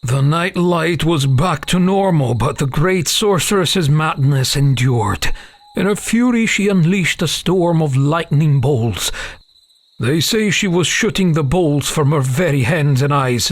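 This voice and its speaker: A man's voice: medium pitch, slightly rough, dry recording. A man playing an uneasy half-elven father who tells a dramatic tale to his young daughter in a fantasy audio drama. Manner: hesitant openings, dramatic storytelling.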